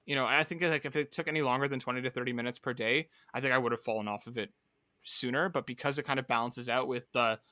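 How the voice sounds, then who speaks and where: A man's voice: mid-range voice, bright, forceful tone, no room sound, clean studio sound. A man hosting a podcast, chatting casually about video games in a rambling, enthusiastic way. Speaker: podcast host.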